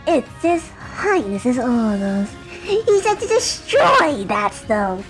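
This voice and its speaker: A woman plays a gloating fireball henchman in a fan radio play. He is smug and villainous, dragging out his taunts slowly in a fairly high voice. Faint music sits behind him. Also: very animated. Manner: taunting, drawn-out, gloating delivery.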